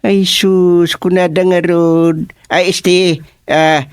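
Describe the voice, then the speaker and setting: An actor playing a sheepish, flustered husband with a Filipino Ilocano accent, stammering silly excuses in a radio comedy drama. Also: male voice, high voice, level tone, extremely slow, smooth, no room sound, clean studio sound. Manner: stammering, comically exclamatory.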